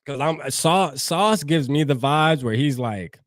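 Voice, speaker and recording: Salty, chatty fan-show host. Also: male voice, a little high, lively intonation, a little slow, no echo. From New Jersey. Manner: loose, profane, talks straight to chat. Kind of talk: casual livestream sports talk.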